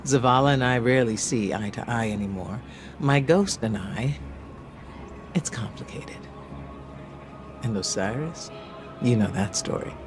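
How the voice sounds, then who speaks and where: An actor playing a lonely, reflective mentor in a video game, speaking in a measured, wry, confiding way. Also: male voice, medium pitch, lightly acted. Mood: wry, melancholy. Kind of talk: dramatic game monologue. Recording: music behind the voice.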